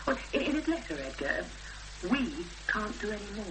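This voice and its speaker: An earnest woman played by an actress in a seventies radio play, with a mid-pitched voice. She speaks in a thoughtful, understated, inquiring way. The broadcast recording has clear hum and noise. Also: very animated, moderate pace, lightly acted. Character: Emma, earnest, inquiring woman seeking advice. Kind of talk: naturalistic 1970s radio drama acting. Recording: noisy hummy 1970s radio broadcast recording.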